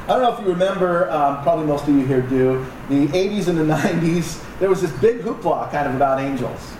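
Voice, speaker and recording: A man's voice: fairly high pitch, fairly quick, a little mellow. A man preaching a sermon to a congregation in a conversational, joking way with asides to the audience. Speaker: pastor. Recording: echoey room, distant mic, noisy.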